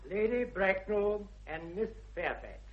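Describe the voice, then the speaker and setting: An actor playing a deferential English manservant in a 1960s radio comedy, formal, measured and deadpan. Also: male voice, high-pitched. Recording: slightly dull vintage radio recording.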